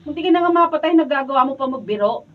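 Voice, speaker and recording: Radio drama role: worried, nagging Filipino wife, high voice, dull recording. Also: female voice, lively intonation, racing. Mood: relieved, chiding. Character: anxious, scolding wife pleading for peace. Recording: dull, distant behind-the-scenes studio video.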